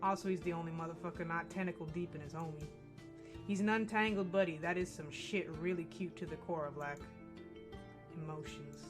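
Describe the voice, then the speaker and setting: A woman from Texas with a gentle Texan accent, reading a visual novel aloud on stream with profane jokes and frequent laughter. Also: fairly low pitch, fairly quick. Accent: mostly American with a gentle Texan accent. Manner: profane, joking, laughs often. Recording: game music behind.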